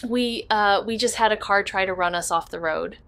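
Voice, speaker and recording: A woman's voice: mid-range voice, normal speed, fairly bright tone, smooth, no echo, close to an everyday voice. Woman acting as guarded young female detective. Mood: reassuring, uneasy. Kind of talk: audio drama dialogue.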